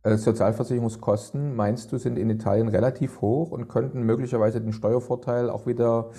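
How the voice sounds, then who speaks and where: A man's voice: mid-range voice, steady tone, fairly quick, mellow, husky. A man hosting a podcast, putting long, winding questions to his guest with many fillers. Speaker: podcast host.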